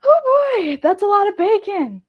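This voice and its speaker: Woman as plucky female survivor, high swooping voice, excitable, comic radio play. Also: measured pace, dull audio, exaggerated voice. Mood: excited.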